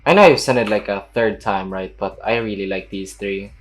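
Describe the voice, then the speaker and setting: A woman's voice: very deep voice, moderate pace, slight background noise. A woman gaming streamer reacting casually as she plays, with a slangy, blunt, offhand manner. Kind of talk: casual reactions and reading along while playing.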